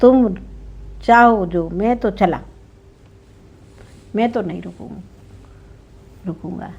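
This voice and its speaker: A woman's voice: mid-range voice, slow pace, creaky, a little mellow, slightly rough, heavily acted. A woman voicing a stubborn young village labourer in a folk tale, boastful and defiant. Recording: dull lo-fi recording.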